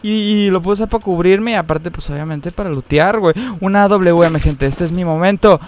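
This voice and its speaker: Excitable high-voiced Mexican young man. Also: brisk, a little hiss or hum. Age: young adult. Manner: excitable, chatty, slangy, frequent high-pitched outbursts. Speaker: gaming streamer. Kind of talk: live gameplay commentary. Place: indoor room at a desk, facecam. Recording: game music underneath. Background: game music.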